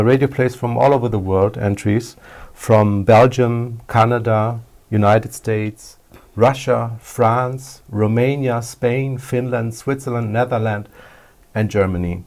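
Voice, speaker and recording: Male co-host, medium pitch, unhurried delivery with halting short phrases, live event presenting. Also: steady tone, darkish tone.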